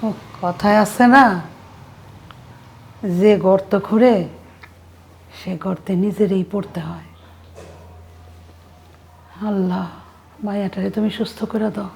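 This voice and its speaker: A woman's voice: mid-range voice, fairly even intonation, slow pace, gravelly, pauses often, darkish tone. An actress playing a pious, sorrowful mother-in-law who has been wronged, in a melodrama. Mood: solemn. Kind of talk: melodramatic drama acting.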